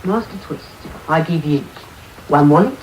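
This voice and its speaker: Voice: medium pitch, moderate pace, a little mellow, close to an everyday voice. An actor in a radio drama playing a cheeky young pickpocket with mock-grand showman patter. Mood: showy. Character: cocky young male London pickpocket, a showman. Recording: roomy, noisy medium-wave radio tape.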